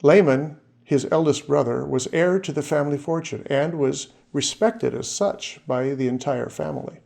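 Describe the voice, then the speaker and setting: A man giving a scripted narration as the presenter of his own series, in a measured, steady way as he works through names and facts. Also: medium pitch, vocal fry.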